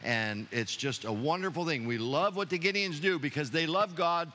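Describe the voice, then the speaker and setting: Bright-voiced enthusiastic pastor man. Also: on the high side, moderate pace. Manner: enthusiastic, preacherly. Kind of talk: church service address. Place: church sanctuary.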